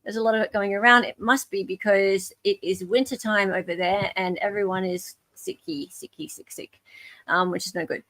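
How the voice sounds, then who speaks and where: An Australian woman hosts a livestream in a chatty, informal way. Her voice sits at a middle pitch and is recorded dry, with very little room sound. She keeps the casual group conversation moving like a moderator. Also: fairly even intonation, normal speed, a bit bright, no room sound, rough recording. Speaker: livestream host. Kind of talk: casual live group chat.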